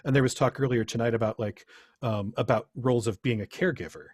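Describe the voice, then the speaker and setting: A man's voice: medium pitch, quick pace, darkish tone, no echo, clean studio sound. A middle-aged man giving an informal workshop presentation in an earnest, reflective manner. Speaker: presenter, teacher.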